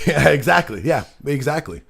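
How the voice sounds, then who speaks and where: A man's voice: fairly high pitch, lively intonation, moderate pace, vocal fry, fairly bright tone, slightly rough. Guy hosting a political chat, rambling, lots of restarts. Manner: rambling, many restarts and fillers. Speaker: show host.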